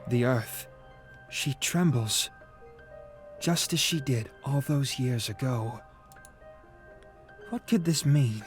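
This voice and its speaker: A man's voice: medium pitch, slow pace, pauses often, natural voice. An actor playing a solemn, brooding male earth spirit in a visual novel, with a grave, musing delivery. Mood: pensive, foreboding. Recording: music behind the voice. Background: game music.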